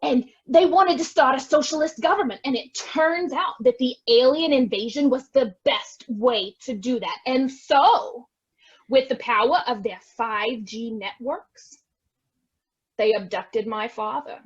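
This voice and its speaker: A dull, lo-fi recording of a woman acting as a defensive heiress in a stage farce. She speaks slowly and theatrically in a high voice, full of melodrama and exclamation. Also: breathy edge. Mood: alarmed, conspiratorial. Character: defensive, melodramatic estate heiress, bickering with her sister. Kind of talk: amateur stage farce acting over video.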